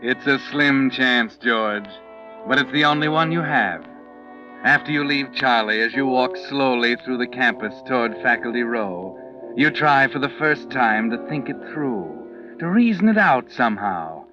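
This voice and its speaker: A man's voice: mid-range voice, animated, unhurried, close to an everyday voice. Knowing, foreboding mystery narrator played by a man, music behind, dull vintage sound. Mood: ominous, knowing. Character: ominous omniscient narrator addressing the doomed protagonist. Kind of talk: 1940s radio mystery narration.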